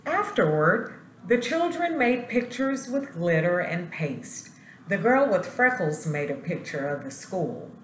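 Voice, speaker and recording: A woman's voice: on the low side, a little slow. Woman reading picture book to kids, very up and down voice, echoey w a bit of noise. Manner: expressive, addressing the children directly. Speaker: school principal reading aloud. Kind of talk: storybook read-aloud for young children. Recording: roomy echo, light background noise.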